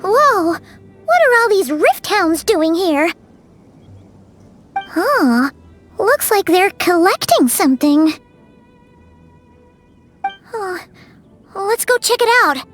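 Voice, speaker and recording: A woman voicing a small, excitable, childlike fairy companion in a video game, squeaky and chatty. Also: slow pace, long pauses, full character voice. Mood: surprised, curious. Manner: chatty, refers to herself in third person. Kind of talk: video game character acting. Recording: background music under the voice.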